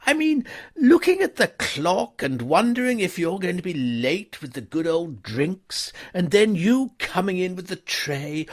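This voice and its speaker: A man reading a story, voicing a flustered, excitable young gentleman with a high, breathless bluster. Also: measured pace, full character voice. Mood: chummy.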